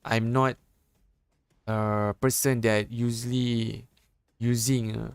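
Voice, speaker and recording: A man from Malaysia, Malay-speaking by first language, casting a mobile esports match in halting English with a Malaysian accent. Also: medium pitch, flat delivery, creaky, clean tone, no room sound. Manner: halting, self-correcting English with pauses. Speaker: esports caster. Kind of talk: live game commentary.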